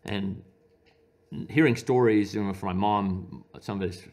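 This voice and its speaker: A man's voice: lowish voice, a little slow, a little mellow. A man in his forties from Iran, a physician talking conversationally as an interview guest, often restarting and correcting himself as he tells personal stories. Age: 40s. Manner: hesitant, self-correcting, repeats opening words.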